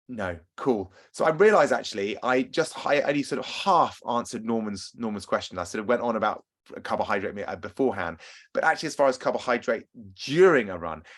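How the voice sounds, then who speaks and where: A man's voice: medium pitch, fairly bright tone. A man coaching runners in an upbeat, chatty webinar, often asking his audience questions. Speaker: running coach. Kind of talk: webinar talk and Q&A.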